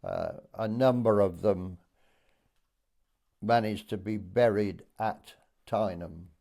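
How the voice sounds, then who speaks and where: Elderly man, late 80s. Low, gravelly voice, halting and reflective interviewee recalling the past. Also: sing-song, mellow. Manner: hesitant, pausing, unhurried recollection. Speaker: oral history interviewee. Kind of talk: reminiscing in conversation.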